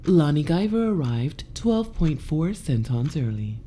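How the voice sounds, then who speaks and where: An actress playing a formal, precise, obliging ship's computer assistant in a science fiction audio drama. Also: female voice, low voice, sing-song, measured pace, mellow, husky, full character voice.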